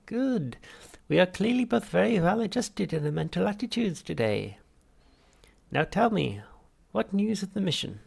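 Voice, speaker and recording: A man's voice: high-pitched, very animated, moderate pace, gravelly, husky, voice pushed up, exaggerated voice. A man voicing a smug alien psychiatrist for an audiobook, falsely cheerful, patronising and unctuous. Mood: brisk delight. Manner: unctuous, patronising, brightly over-articulated. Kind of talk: audiobook character voice.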